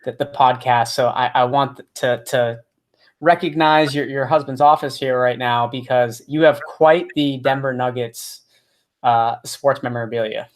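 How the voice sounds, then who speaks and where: A man's voice: mid-range voice, fairly even intonation, measured pace. A man hosting a podcast interview over a video call, talking casually with many restarts and fillers. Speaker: podcast host.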